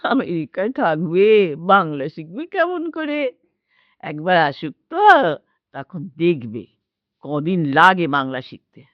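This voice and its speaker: A woman's voice: low voice, animated, slow pace, no echo, highs cut off. A woman playing an elderly, half-blind, doting but scolding Bengali mother in a radio drama, with a plaintive, fussing manner. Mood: wistful, fond. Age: older.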